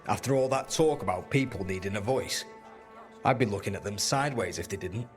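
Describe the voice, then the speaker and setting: A man's voice: lowish voice, animated, a little fast, faint music behind, natural voice. A man playing a blunt, streetwise resistance fighter in a video game, plain-spoken and sardonic. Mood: wry, firm. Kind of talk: video game character dialogue.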